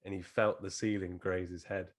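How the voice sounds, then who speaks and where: A man's voice: low voice, little pitch movement, moderate pace, a crowd in the background. A man hosting a podcast, chatting casually and telling anecdotes, with a lingering cough. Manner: chatty, laughs while telling stories. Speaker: podcast host. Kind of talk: casual chat.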